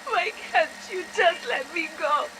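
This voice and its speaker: A defiant female captive from a video game cutscene, raw and strained. She speaks slowly, and her pitch moves dramatically. Clear background noise runs behind her. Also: expressive. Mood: broken, pleading. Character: defiant, tormented female prisoner with psychic powers. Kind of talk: scripted cutscene dialogue.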